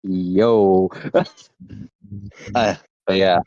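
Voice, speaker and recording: A man's voice: sits low, fairly even intonation, drawn-out words, mellow, dry recording, lo-fi sound. A man co-hosting a casual podcast chat, talking in a laid-back, rambling way and laughing often. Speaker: podcast co-host.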